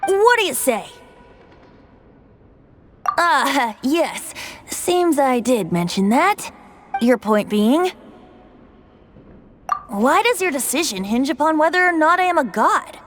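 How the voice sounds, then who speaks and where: A woman's voice: sits high, very animated, slow, long pauses, fairly bright tone, full character voice. A woman voicing a sly, carefree, youthful boy bard in an anime video game, breezy and theatrical. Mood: teasing. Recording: faint music behind.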